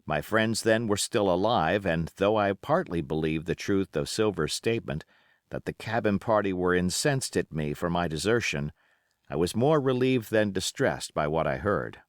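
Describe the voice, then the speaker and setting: A man's voice: low-pitched, animated, normal speed, no room sound, studio quality. A man reading an adventure novel aloud as scripted audiobook narration. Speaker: audiobook reader.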